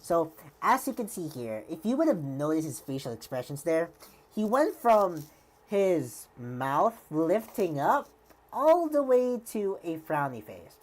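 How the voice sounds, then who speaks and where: A woman's voice: fairly low pitch, very animated, unhurried, darkish tone. A woman streamer giving rambling live commentary, full of fillers and restarts, with a slightly worn voice.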